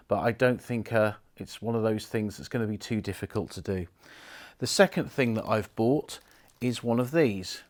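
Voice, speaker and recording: A middle-aged man with a British accent and a fairly low voice. He talks casually and without hurry, like someone presenting a repair at the bench, explaining each step as he goes. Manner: unhurried, informal, explains step by step. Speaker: presenter of a repair video, former radio engineer. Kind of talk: casual hands-on demonstration commentary.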